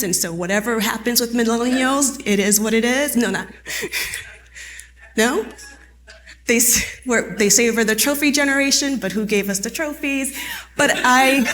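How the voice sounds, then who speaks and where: A woman's voice: mid-range voice, fairly bright tone, slight background noise. A woman in her thirties whose first languages are French and Haitian Creole, presenting a conference training session and often asking the audience questions. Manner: conversational, asks the audience questions. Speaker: workshop presenter.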